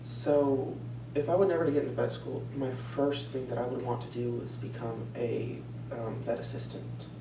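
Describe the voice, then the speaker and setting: A young man answering questions in a mock interview, earnest and steady, with occasional restarts. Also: medium pitch, normal speed, hardly any pauses. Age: young adult. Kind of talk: prepared answers in a practice interview. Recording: echoey room, far from mic, humming noise.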